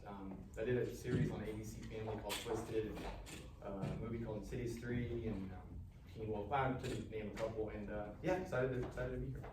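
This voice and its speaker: A man who is an actor, introducing himself casually and haltingly. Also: fairly low pitch, steady tone. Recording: very noisy echoey room, distant mic.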